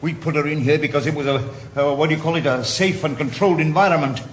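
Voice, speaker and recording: A man with a Welsh accent playing a bitter, sarcastic retired detective in a radio drama, blunt and gruff. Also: fairly high pitch, fairly even intonation, quick pace, slight background noise, natural voice. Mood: angry. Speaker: lead actor.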